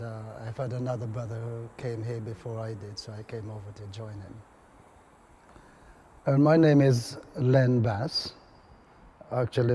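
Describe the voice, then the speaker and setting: A man in his seventies from Saint Kitts, introducing himself in a measured, hesitant way. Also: medium pitch, mellow, a little hiss or hum. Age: 70s. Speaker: interviewee. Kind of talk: personal introduction in an interview.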